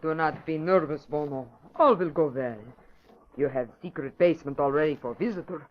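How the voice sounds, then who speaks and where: A woman's voice: low voice, moderate pace, close to an everyday voice. A muffled old radio recording of a woman playing a saboteur's wife. She speaks clipped, broken with steely insistence. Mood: reassuring, insistent. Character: steely foreign wife of a saboteur, urging him on. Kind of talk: old radio drama acting.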